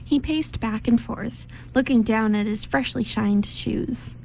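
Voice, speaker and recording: Female story narrator, higher register, amateur read-aloud with small stumbles, lightly noisy recording. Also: unhurried, creaky, a little mellow. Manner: stumbles over words while reading.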